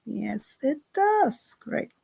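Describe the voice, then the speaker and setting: A woman with a Slovak accent, a native Slovak speaker, hosting a live-streamed ritual from home and giving slow, gentle instructions full of fillers. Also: on the high side, big pitch swings, gravelly, slightly rough, no room sound, studio quality. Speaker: spiritual ritual host. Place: home in the south of France. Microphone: computer on a live stream.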